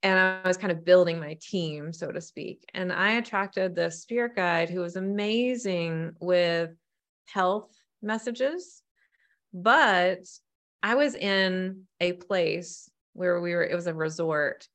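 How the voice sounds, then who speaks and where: Woman, lowish pitch, spiritual medium, casual podcast chat, animated storyteller, acts out dialogue. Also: slow pace.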